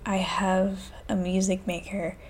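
A woman in her twenties hosting a solo podcast, talking low and hushed in a candid, profane casual chat from a room in a small house. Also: flat delivery, takes it slowly, a little hiss or hum. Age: 20s. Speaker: podcast host. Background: faint classical music.

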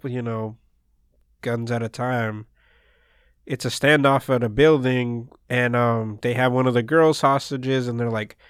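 A man whose first language is Spanish, co-hosting a casual anime podcast and recapping plots in a relaxed, rambling way. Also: mid-range voice, a little slow, smooth, dry recording, clean studio sound. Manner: laid-back, rambling plot recaps. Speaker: podcast co-host.

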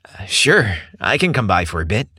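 Guy doing a shy nervous young guy from a story, hesitant, pitch goes up and down. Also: sing-song, moderate pace, bright, forceful tone, slightly rough, no echo, clean studio sound, full character voice. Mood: nervous, agreeing. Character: shy, nervous young man on a first date.

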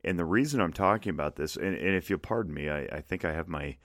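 A male podcast host talking casually on his own, his voice low and a little scratchy from smoke, with a hesitant pace full of fillers and restarts. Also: fast talker, a bit bright, dry recording, clean studio sound. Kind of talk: casual solo podcast talk. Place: home studio in the Reno area.

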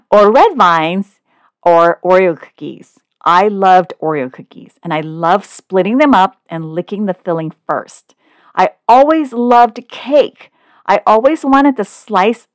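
A woman's voice: lowish voice, no room sound, studio quality. A woman in her forties, a podcast host from California, talking in an upbeat, chatty, personal way. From Oakland, California. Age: 40s. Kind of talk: scripted podcast monologue.

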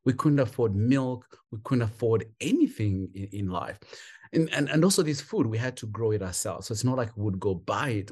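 A man's voice: fairly low pitch, a little mellow. A man from Uganda, a guest on a podcast, telling his life story in an emphatic, heartfelt way. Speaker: guest, foster parent. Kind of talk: interview storytelling.

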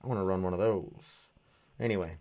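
A man's voice: low voice, fairly quick, dark tone, no echo. A young man, rambling casually to his viewers, often restarting and laughing at himself. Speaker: vlogger.

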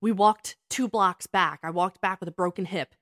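A woman's voice: fairly low pitch, moderate pace, a bit bright. A woman co-hosting a casual story-swapping chat with a friend, teasing playfully and often breaking into laughter as she talks. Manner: laughs often while talking. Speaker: podcast co-host.

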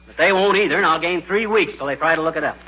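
Guy doing a blustery american husband from 40s radio comedy, bit high voice, bumbling wisecracker, hissy old recording. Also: fast talker, close to an everyday voice. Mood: blustering. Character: bumbling, blustering, scheming middle-aged husband. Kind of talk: 1940s radio comedy acting. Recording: dull, noisy 1940s radio recording.

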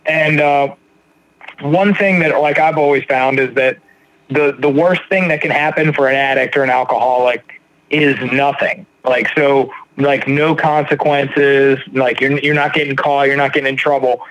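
A man hosting a casual podcast interview, halting and repeating words with many ums and likes. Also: mid-range voice, fairly even intonation, fairly bright tone, slight background noise, poor audio. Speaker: podcast host.

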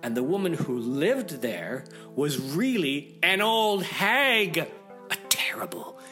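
A man narrating a fairy tale as a storyteller, in a theatrical, expressive and dramatic way. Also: on the high side, slow, soft background music.

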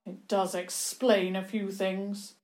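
A woman voicing a cruel older lord in a storybook, sarcastic and quietly threatening. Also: mid-range voice, flat delivery, slow pace, lightly acted. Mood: smug, soft threat. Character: cruel, sneering lord, menacing older uncle.

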